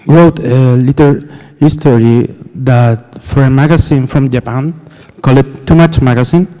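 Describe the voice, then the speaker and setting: Man, unhurried and hesitant, smooth voice, lecture-hall echo. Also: medium pitch, mellow. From Chile. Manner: hesitant, searching for words, many fillers.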